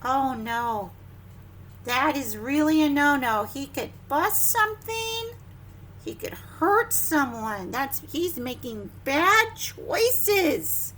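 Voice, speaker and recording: A woman leads story time for preschoolers in a high, bright voice. She takes her time, with a sing-song, exclaiming delivery full of questions to the children. There is some noise behind her. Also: big pitch swings, slow. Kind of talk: animated read-aloud to young children.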